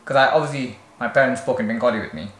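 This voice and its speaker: A man from India with an Indian accent, chatting in a relaxed, conversational way as a vlog co-host. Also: medium pitch, very fast. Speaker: vlogger co-host. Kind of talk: casual chat. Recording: echoey room with faint ambience.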